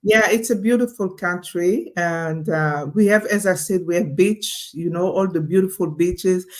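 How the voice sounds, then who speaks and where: A woman's voice: on the low side, a little slow. Woman, from Congo, interview guest, chatty, fillers, video call. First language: French. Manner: chatty, laughs often, many fillers and restarts. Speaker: guest, online business owner. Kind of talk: casual interview talk.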